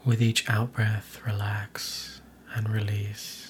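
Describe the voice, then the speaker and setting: Male meditation narrator, medium-pitched and unhurried, soothing and soft, over clearly audible ambient noise. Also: completely flat delivery. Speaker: meditation guide.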